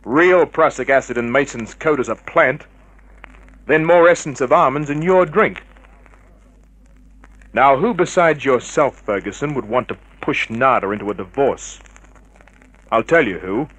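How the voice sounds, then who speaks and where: A cynical, tough private eye from an old radio serial. He, a mid-pitched voice and a clipped, hard-boiled delivery for both narration and confrontation. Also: measured pace, long pauses, a bit bright, no echo, poor audio. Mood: confrontational. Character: tough, cynical male private investigator narrating his case. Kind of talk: 1950s radio crime drama narration and dialogue.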